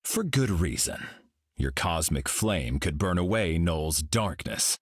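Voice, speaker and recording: A solemn golden cosmic hero for a video game, his speech calm and grave. The voice sits very low with a husky grain and a lively, rolling pitch. It is recorded close and dry. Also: slow pace, dry recording, exaggerated voice. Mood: serene.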